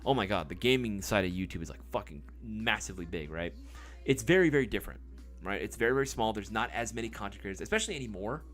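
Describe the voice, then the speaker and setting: A man's voice: lowish voice, animated, fast, a bit bright, soft background music. A man hosting a live stream in casual chat, reading viewer comments, rambling with many fillers and casual swearing.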